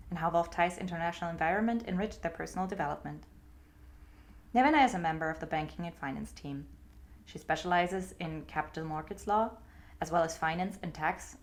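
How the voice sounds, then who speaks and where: A woman reading a scripted podcast introduction in a clear, steady way. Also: fairly low pitch. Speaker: podcast narrator. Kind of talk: scripted narration. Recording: faint music behind.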